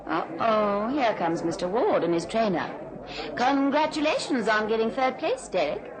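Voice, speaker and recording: Brief: woman playing arch society racehorse owner, slyly vengeful, very expressive pitch, radio play. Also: moderate pace, crowd noise, lightly acted, poor audio. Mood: bright, pleased. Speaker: actor. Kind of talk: radio drama acting.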